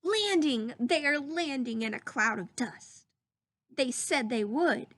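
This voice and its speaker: Female read-aloud teacher, high voice, very animated intonation, stretches key words and checks in with young listeners. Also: slow, no echo. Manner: animated storybook delivery, drawing out words, asking questions. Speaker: teacher reading a picture book aloud to children. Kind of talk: expressive children's read-aloud with questions to listeners.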